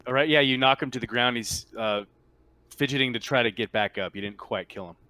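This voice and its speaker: A man's voice: medium pitch, brisk, sharp tone. A man acting as game master, casually running and narrating a tabletop roleplaying game.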